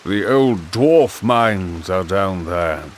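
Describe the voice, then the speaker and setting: Animated, commanding old male wizard. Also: low-pitched, slow pace, slight background noise. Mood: stern. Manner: authoritative. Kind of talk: video game cutscene acting.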